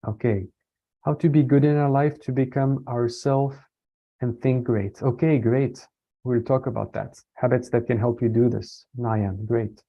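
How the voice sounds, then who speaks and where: A man from France giving a casual online talk, relaxed and hesitant, with many fillers and restarts. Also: medium pitch, a little slow. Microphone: computer mic on a video call. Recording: dull lo-fi video call audio.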